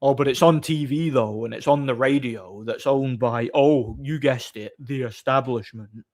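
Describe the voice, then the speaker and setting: A man hosting a livestream, chatting casually and off the cuff in a blunt, opinionated, somewhat halting way. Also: medium pitch, darkish tone. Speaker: livestream host. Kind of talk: casual off-the-cuff chat, answering viewer comments.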